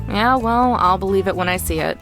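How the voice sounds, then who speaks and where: A woman's voice: mid-range voice, brisk, over music, natural voice. A woman playing a sensible young traveler in a visual novel, skeptical, dry and pointed. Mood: dismissive.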